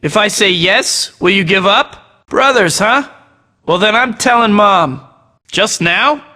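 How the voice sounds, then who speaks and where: A sarcastic young web-slinging hero from a video game, firing off quips at his foes. His voice sits a bit high for a man and sounds bright and crisp, with a long reverb trailing each line. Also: lively intonation, takes it slowly, exaggerated voice. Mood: taunting. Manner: quippy, sarcastic banter. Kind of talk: scripted game voice acting.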